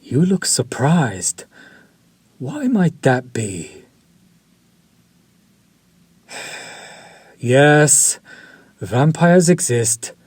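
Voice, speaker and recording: A suave, predatory vampire chef, voiced by a man, unhurried and formal. His slightly husky voice sits close and dry against the mic. He is courtly on the surface and hungry underneath. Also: on the high side, sing-song, no echo, heavily acted. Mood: smug. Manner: slow, formal, seductive and menacing. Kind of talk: audio roleplay for a listener.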